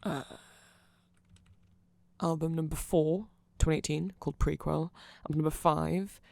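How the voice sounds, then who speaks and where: A man's voice: a little high, steady tone, measured pace, creaky, darkish tone, no echo. A man giving a rambling, nerdy, informal ASMR explainer, often hesitating and interrupting himself. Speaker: ASMR creator explaining band lore.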